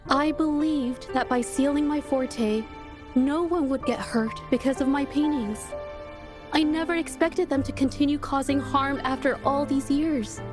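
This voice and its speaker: A young woman painter from an anime-style game in a high, girlish register. She is shy yet earnest, speaking with heartfelt sincerity. Clear background music plays under her words. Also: a little slow. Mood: regretful, resolute. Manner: soft-hearted, earnest, hesitant when flustered. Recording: music behind the voice. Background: game soundtrack music.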